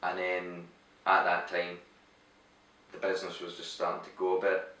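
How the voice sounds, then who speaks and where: A Scottish man with a Scottish accent, a company director answering interview questions in a brisk, matter-of-fact way with dry humor. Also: sits low, completely flat delivery, sharp tone. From Scotland. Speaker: managing director. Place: glassworks showroom. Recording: echoey room, voice a bit far from mic.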